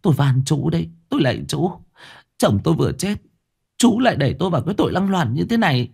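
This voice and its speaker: Man doing a high-voiced widow, frightened, pleading, lively pitch, storybook reading. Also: moderate pace. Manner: pleading, shrill with shame.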